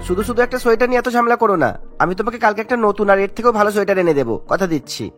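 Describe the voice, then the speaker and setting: A man voicing a young newlywed husband in a cartoon, hurried and placating as the family peacemaker. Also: high-pitched, soft background music, close to an everyday voice. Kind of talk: Bengali cartoon voice acting.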